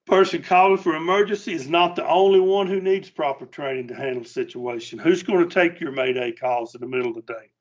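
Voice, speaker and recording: A middle-aged man giving an informal workplace safety training talk in a plainspoken, halting, folksy manner. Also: a little high, lo-fi sound.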